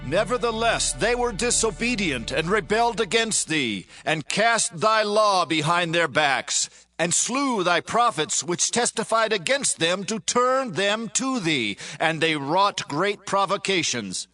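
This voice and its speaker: A man plays a solemn penitent confessing his nation's sins in a scripture drama. The voice is fairly high for a man, formal and declamatory, over prominent music. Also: lively intonation, unhurried, bright, forceful tone, full character voice. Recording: studio reading under prominent music.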